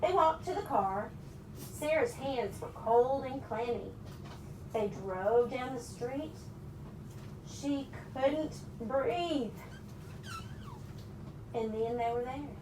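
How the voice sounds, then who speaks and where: Woman storyteller, high pitch, reading to children, question-and-answer style, roomy recording. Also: takes it slowly, long pauses. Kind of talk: animated picture-book read-aloud with questions to children. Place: echoing room with children present. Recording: roomy, distant mic with background noise.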